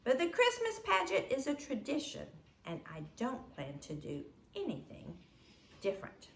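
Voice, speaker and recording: A woman's voice: sits high, huge pitch swings, normal speed, creaky, a bit bright, echoey room, pitched above the performer's own voice, heavily acted. A woman voicing a practical, no-nonsense mother from a storybook in a dry, firm manner. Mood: firm, exasperated.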